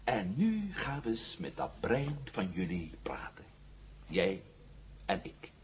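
A man's voice: fairly low pitch, wildly swooping pitch, slow, exaggerated voice. An actor playing a cocky, determined hero questioning his captive in a 1970s radio drama, wry and commanding. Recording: muffled, noisy old radio drama recording.

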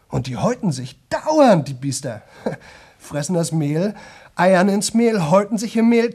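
A talkative young fellow in a radio play, his voice pitched on the high side. He speaks colloquially to his listeners, jokes along, wanders off and interrupts himself. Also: darkish tone. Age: young adult. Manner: colloquial, digressive, jocular, self-interrupting. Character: chatty young man rambling nonstop to an audience. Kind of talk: 1970 German radio drama monologue.

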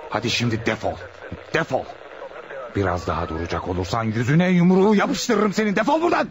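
Man playing a gruff cop with a swooping delivery. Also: medium pitch, expressive, brisk, natural voice. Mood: angry, threatening. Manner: blunt, aggressive. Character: gruff, threatening male police detective. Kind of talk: Turkish radio theater acting.

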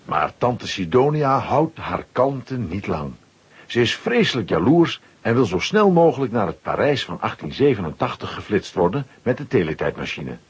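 A man with a husky voice narrating a children's audio drama in a scripted storytelling style. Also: lowish voice, very animated, normal speed. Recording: slightly dull recording.

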